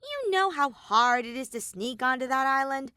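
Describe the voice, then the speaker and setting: A woman's voice: high-pitched, lively intonation, moderate pace, sharp tone, dry recording, studio quality, voice pushed up. A woman voicing a mischievous, bossy male robot bear villain in an audiobook story, brash and snappy. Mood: brusque. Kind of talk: audiobook character voice.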